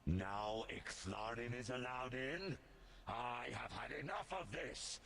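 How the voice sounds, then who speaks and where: Raging game deity, slow, forceful and sharp, gravelly, bellowing, domineering. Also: male voice, mid-range voice, slight background noise. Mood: furious. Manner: bellowing, furious. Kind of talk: fantasy video game voice acting.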